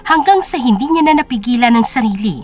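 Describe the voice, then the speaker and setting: A woman's voice: on the high side, expressive, fast. A woman reading scripted dramatic narration as the storyteller of an audio drama.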